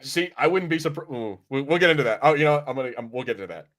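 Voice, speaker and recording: A man's voice: mid-range voice, racing, fairly bright tone. Rambling man chatting on a podcast. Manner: rambling, acts out imagined lines. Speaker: podcast host. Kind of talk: casual review chat.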